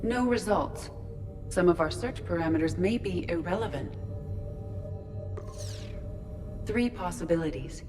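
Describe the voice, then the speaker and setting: A female artificial intelligence, played by a woman from a sci-fi video game. Her voice sits a little low and stays measured, exact and matter-of-fact. Music is clearly present behind her. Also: expressive, close to an everyday voice. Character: calm, precise female ship's artificial intelligence.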